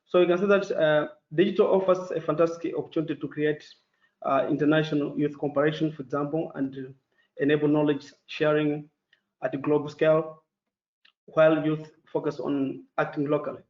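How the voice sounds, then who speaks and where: A man's voice: a little high, little pitch movement. Man. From Mozambique. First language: Portuguese. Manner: careful, halting, with many fillers. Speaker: panelist. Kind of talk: formal webinar presentation. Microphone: video call. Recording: dull echoey video call audio.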